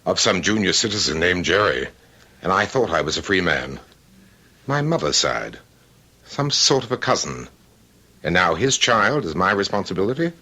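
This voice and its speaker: An actor playing a fussy, aloof, wealthy middle-aged bachelor in a radio drama, speaking with pompous precision and dry wit. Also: male voice, low-pitched, sing-song, moderate pace, bright, forceful tone, husky, full character voice. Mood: dry, disdainful. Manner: pompous, precise, drily witty. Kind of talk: old-time radio drama acting.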